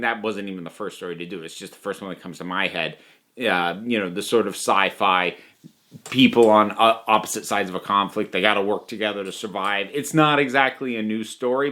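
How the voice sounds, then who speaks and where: A man's voice: medium pitch, a bit bright. A man delivering a conversational, opinionated review monologue, thinking aloud with emphatic points. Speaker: reviewer of audio dramas.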